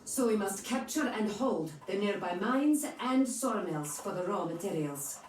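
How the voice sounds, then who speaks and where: A woman's voice: medium pitch, lively intonation, a little slow, darkish tone, lightly acted. A woman playing a fierce, hot-tempered mercenary captain in a video game, blunt and commanding. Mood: blunt, businesslike. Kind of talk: dramatic video game acting. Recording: echoey, distant, music underneath.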